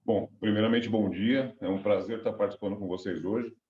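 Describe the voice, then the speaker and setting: A man's voice: lowish voice, fairly even intonation, a little fast, warm tone, reverberant, dull audio. A middle-aged man with a cold and a stuffy, nasal voice, rambling through a business interview as a company director. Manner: rambling, many restarts and fillers.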